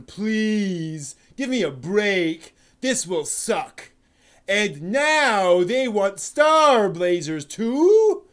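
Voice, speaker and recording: A man's voice: high voice, pitched above the performer's own voice. A man voicing an exasperated male anime fan who rants melodramatically in a comedic dramatic reading. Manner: melodramatic ranting, exaggerated sighs and groans.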